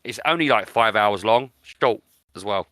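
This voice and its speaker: A man's voice: fairly low pitch, expressive, moderate pace, fairly bright tone, no room sound. A British man chatting casually as a podcast host, enthusiastic and often repeating words for emphasis. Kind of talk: casual chat.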